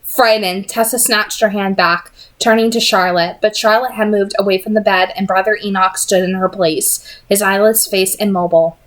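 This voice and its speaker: A woman's voice: medium pitch, fairly even intonation, normal speed, hardly any pauses, fairly bright tone, rough recording. Woman narrating a novel aloud. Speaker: reader of a novel read-aloud. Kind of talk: expressive amateur audiobook narration.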